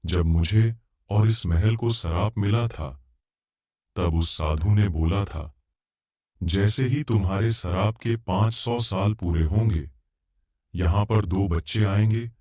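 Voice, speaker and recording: A talking tiger from a cartoon storybook, once a king and now under a curse, voiced by a man. He speaks low, slow and calm, a solemn guide who explains patiently.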